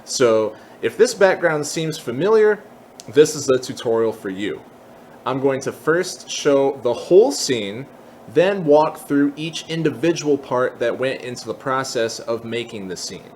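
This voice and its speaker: A man's voice: medium pitch, expressive, unhurried, darkish tone, slight background noise. A man narrating a tutorial in a conversational, explanatory way. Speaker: tutorial presenter.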